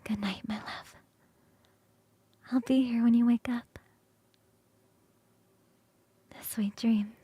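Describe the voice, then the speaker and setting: Woman playing a soft, intimate ancient succubus queen. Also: fairly high pitch, fairly even intonation, husky, no room sound. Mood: soothing, loving. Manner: slow, intimate, soothing, often whispering. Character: ancient succubus queen, tender, teasing, doting lover. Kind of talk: ASMR roleplay.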